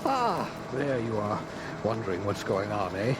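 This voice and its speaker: An actor voicing a male scientist in a video game, talking briskly and chattily as he explains things. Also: on the low side, huge pitch swings. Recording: game audio under loud noise.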